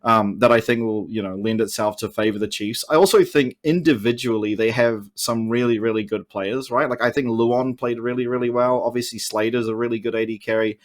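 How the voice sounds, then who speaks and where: A man's voice: mid-range voice, steady tone, fairly quick, hardly any pauses. A man with a New Zealand accent explaining his views at length in casual, analytical podcast chat, like an esports caster. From Oceania.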